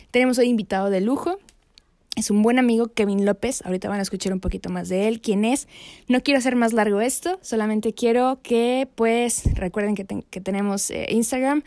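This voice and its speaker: A young woman chats casually as a podcast host. Her voice is mellow and dark-toned, and her talk is full of fillers and easy laughter. She keeps it friendly and loose. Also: medium pitch. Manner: chatty, laughs often, many fillers. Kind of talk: casual faith podcast chat.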